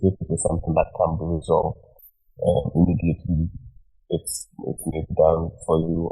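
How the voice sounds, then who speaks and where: A man who sounds nasal from a cold, calmly explaining steps as a guest on a live audio chat. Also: low voice, creaky, husky, rough recording. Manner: measured, explanatory, with frequent ums. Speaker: guest panelist. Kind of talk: casual discussion.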